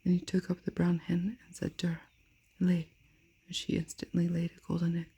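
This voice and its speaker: A woman softly whispering a fairy tale aloud, as in an ASMR reading. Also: fairly low pitch, monotone, fast talker. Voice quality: breathy. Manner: soft unvoiced whisper. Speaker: storyteller.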